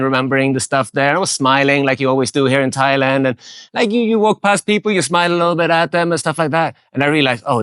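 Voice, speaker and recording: Smooth-voiced man, speaking in a close, dry recording. He tells a casual story in a relaxed, rambling way and often restarts his sentences. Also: mid-range voice, dry recording. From Sweden. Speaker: interviewee, photographer.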